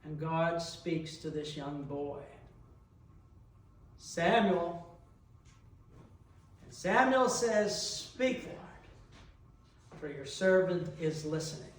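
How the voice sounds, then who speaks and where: A middle-aged man preaching a reflective sermon, deliberate in delivery, with long pauses and rhetorical questions. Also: a little high. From New Brunswick, Canada. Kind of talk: reflective sermon with personal anecdotes. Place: large reverberant church interior. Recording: echoey church, picked up from a distance.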